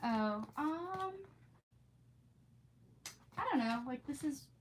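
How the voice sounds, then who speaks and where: A woman's voice: high-pitched, expressive, drawn-out words. A woman streamer who reads storybooks aloud and chats casually with viewers in an informal, gushing, rambling way. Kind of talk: storybook read-aloud and casual livestream chat. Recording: clearly noisy background.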